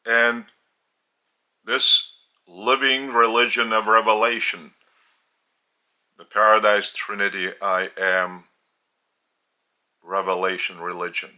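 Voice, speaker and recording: Lithuanian guy teaching spiritual stuff real slow, bright sharp voice. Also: lowish voice, level tone. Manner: deliberate, halting, with long pauses.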